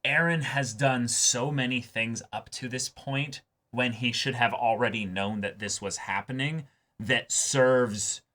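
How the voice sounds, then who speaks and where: A man co-hosting a casual anime discussion, talking emphatically and haltingly, often repeating words as he builds his point. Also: mid-range voice, fairly even intonation, bright, forceful tone. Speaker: reaction show co-host.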